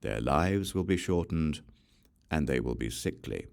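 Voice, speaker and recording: Low gravelly guy reading scripture slow and solemn, voice rises and falls a lot. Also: lively intonation. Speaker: audiobook reader.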